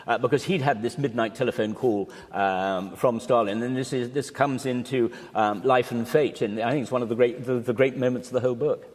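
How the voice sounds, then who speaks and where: A man's voice: mid-range voice, fairly even intonation, fairly quick, few pauses, a little mellow. A man speaking conversationally as a guest on a panel about history and literature. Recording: very reverberant hall.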